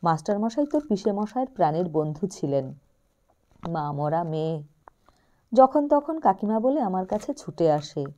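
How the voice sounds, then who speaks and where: Doting older aunt in an audio story, voiced by a woman; coaxing, mellow, warm. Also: lowish voice, very animated, moderate pace. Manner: affectionate, coaxing storybook dialogue.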